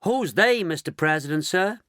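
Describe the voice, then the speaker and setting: A man's voice: fairly high pitch, animated, moderate pace, fairly bright tone, no room sound, clean studio sound, pitched above the performer's own voice. A man voicing an eager, fawning male astronaut in a storybook reading.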